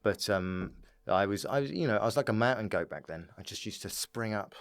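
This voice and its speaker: A British man chatting casually as a comedian guest on a podcast, rambling excitably through his anecdotes and often chuckling. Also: fairly low pitch, fairly quick, smooth. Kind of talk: casual chat.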